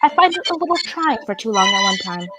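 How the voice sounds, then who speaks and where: Woman playing high-voiced haughty society hostess. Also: big pitch swings, fast, background noise. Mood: flirtatious. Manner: theatrical, imperious. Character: flirtatious, haughty, quick-tempered French society hostess. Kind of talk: radio drama acting.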